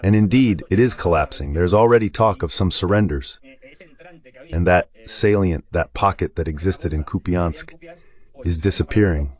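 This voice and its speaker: A man's voice: sits low, normal speed, darkish tone. A man giving explanatory, conversational commentary on a war as a guest analyst in a dubbed live talk.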